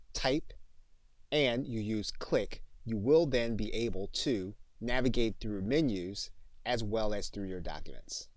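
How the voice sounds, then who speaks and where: A man narrating an instructional software tutorial as a trainer, in an explanatory way. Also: on the low side, no echo, poor audio.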